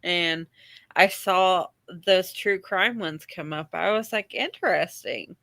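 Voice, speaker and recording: A woman's voice: on the low side, slow pace, gravelly, sharp tone, no echo. A woman co-hosting, chatting casually and chiming in. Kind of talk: casual interview chat.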